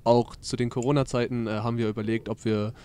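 A man's voice: medium pitch, little pitch movement, fast talker, warm tone, clear, resonant tone. A 19-year-old man, a junior football player, answering interview questions eagerly and at length, often restarting mid-sentence. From northern Germany.

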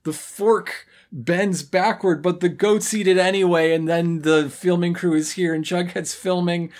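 A woman's voice: lowish voice, steady tone, unhurried, darkish tone. A woman co-hosting a podcast with wry, amused commentary and casual banter. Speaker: podcast co-host.

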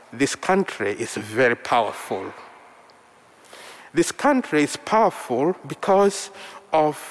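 Ugandan-born guy preaching slow in a big echoey church, luganda his first language, voice a bit high. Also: fairly bright tone. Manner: slow, measured delivery in short phrases. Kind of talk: sermon. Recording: long reverb of a large hall.

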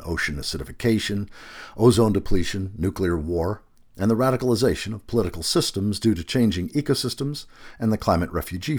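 Male narrator for long-form article. Low register, animated intonation, emphatic and deliberate phrasing. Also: slightly rough. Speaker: narrator reading a magazine feature aloud.

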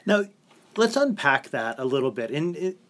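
Measured male interviewer. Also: fairly high pitch, big pitch swings, fairly bright tone. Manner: measured, summarizing the book before asking. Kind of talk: interview questions.

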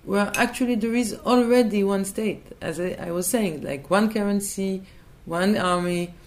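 Woman with a hesitant, halting delivery. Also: mid-range voice. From Israel. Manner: hesitant, searching for words, many restarts. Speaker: interview guest. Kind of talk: thoughtful conversational interview.

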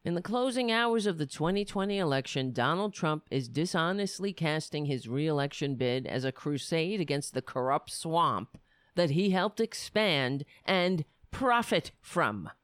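A man with a raspy voice hosting a political commentary show, reading articles aloud and breaking into heated, sarcastic insults. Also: on the high side, animated, a little slow, fairly bright tone, no echo, studio quality. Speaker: podcast host.

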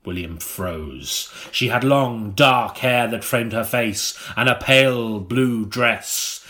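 A man's voice: mid-range voice, sharp tone. Melodramatic male audiobook narrator. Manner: melodramatic, deliberate delivery. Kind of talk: mock-serious scripted gothic narration. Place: recording studio.

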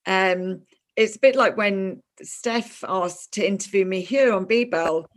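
A woman's voice: medium pitch, unhurried. Chatty woman hosting a podcast interview. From moved to Ireland in 2000. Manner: chatty, warm with a friend, many fillers and restarts. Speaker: podcast host. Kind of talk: casual interview chat.

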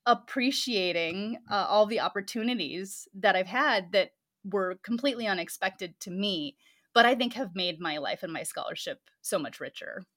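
A woman's voice: mid-range voice, normal speed, a bit bright. A woman who is a pastor and scholar, answering interview questions thoughtfully and often laughing lightly between phrases.

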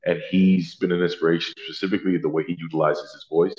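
Deep-voiced man, earnest interview guest. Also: little pitch movement, fast talker, a little mellow. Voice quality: deep baritone. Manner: earnest, thoughtful, self-correcting. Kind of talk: casual interview chat. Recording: roomy, slightly dull sound.

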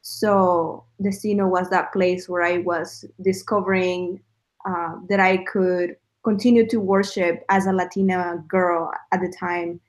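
A woman's voice: fairly low pitch, flat delivery, measured pace. Woman. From El Salvador. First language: Spanish. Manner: earnest, reflective, with frequent fillers. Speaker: guest sharing a faith story. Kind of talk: casual testimony chat.

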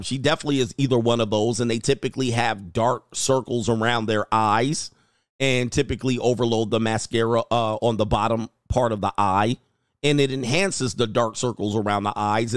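A man in the middle of his range hosts a relaxed livestream, chatting and cracking jokes between viewer comments. He laughs easily and rambles in a loose, offhand way. The voice sits close and dry, with no room sound around it. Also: mid-range voice, no room sound. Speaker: dating coach and show host. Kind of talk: casual livestream chat reading viewer comments.

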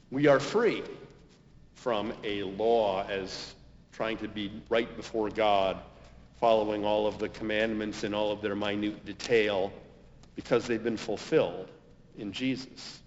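An American man preaching a homily as a pastor, in measured, deliberate phrases with emphatic stresses and pauses. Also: mid-range voice. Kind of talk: sermon, homily. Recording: dull, reverberant hall recording.

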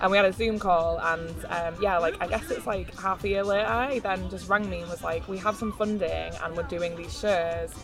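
A woman's voice: on the low side, fairly even intonation. Woman hosting, music behind. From Yorkshire. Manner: upbeat, quick, fillers. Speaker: guest podcast host. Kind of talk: casual podcast interview. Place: theatre venue after soundcheck. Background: music playing.

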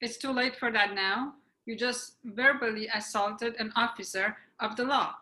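A woman as a bright, insistent police officer. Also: a little high, moderate pace, low-quality recording. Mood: commanding. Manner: firm, commanding. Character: stern, insistent police officer giving orders. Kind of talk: screenplay table read.

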